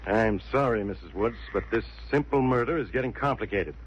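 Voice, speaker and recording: An actor playing a brash, sarcastic police inspector in 1940s radio style, blustery and insistent. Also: male voice, on the low side, fairly quick, close to an everyday voice. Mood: pressing. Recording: dull, hissy old radio recording.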